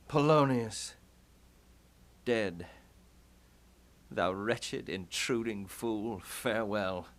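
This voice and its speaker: A man's voice: medium pitch, fairly bright tone. A man acting a mocking, impulsive, grief-maddened young prince in a theatrical Shakespearean puppet show. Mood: furious accusing. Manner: dramatic, declaiming, sardonic.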